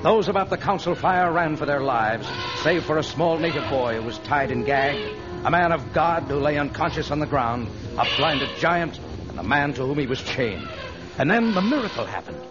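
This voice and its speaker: Dramatic male radio narrator over loud music. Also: on the high side, expressive, normal speed. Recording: muffled old radio recording.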